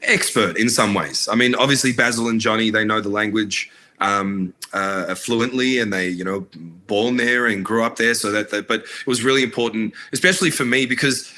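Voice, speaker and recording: A man's voice: lowish voice, normal speed, pushed and bright, slight background noise. A man, born in Iraq, talking earnestly as a filmmaker guest in a casual interview, with lots of fillers and restarts. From Iraq, raised in Australia. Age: adult, married.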